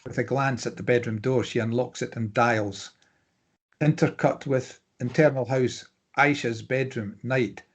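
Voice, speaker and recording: A man's voice: medium pitch, fairly even intonation, a little slow, breathy edge. A man reading stage directions aloud as scripted narration at an informal drama table read.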